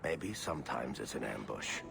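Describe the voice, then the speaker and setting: An actor playing a wry, roguish storyteller in a video game, sardonic and theatrical in delivery. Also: male voice, extremely low pitch, animated, normal speed, vocal fry, noisy background, heavily acted. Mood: bantering. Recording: long hall reverb, music underneath.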